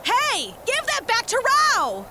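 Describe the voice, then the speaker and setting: A woman playing a brash, excitable female sidekick in an audio drama, with casual delivery. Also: very high-pitched, slow pace, very noisy, exaggerated voice. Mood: urgent shouting.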